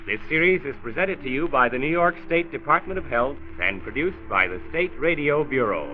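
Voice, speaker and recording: A man's voice: fairly high pitch, lively intonation, a little fast, phone-quality sound. A man reading a scripted introduction as the announcer of a 1940s radio series. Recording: thin, band-limited 1940s radio transcription. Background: music.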